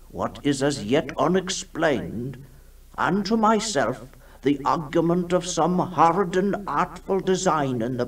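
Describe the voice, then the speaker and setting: A man playing a grieving, formal vicar giving a deliberate written account in a radio ghost story. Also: fairly high pitch, breathy edge, recorded from a distance, full character voice. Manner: solemn, deliberate, old-fashioned formal.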